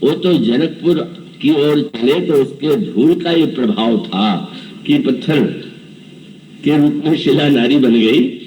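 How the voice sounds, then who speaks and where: A man's voice: mid-range voice, takes it slowly, mellow, far from the mic, a little hiss or hum, low-quality recording. A man in his seventies giving a devotional scripture discourse, telling the story and acting out characters' dialogue. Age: 70s. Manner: storytelling, voices characters' dialogue and chants verses. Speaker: preacher.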